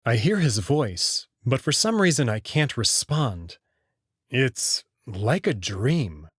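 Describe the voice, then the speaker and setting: Husky, expressive male narrator. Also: mid-range voice, slow pace, no echo, clean studio sound. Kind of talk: scripted first-person visual novel narration.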